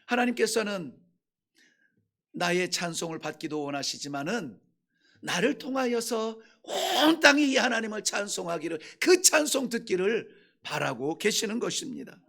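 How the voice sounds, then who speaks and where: A man preaching a Sunday sermon as pastor, impassioned, swinging between shouted peaks and hushed lines. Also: high voice, gravelly.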